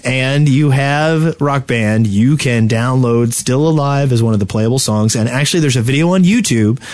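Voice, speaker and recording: A man's voice: mid-range voice, moderate pace, a little mellow. A man co-hosting a podcast, chatting casually with joking banter. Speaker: podcast co-host. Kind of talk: casual group podcast chat.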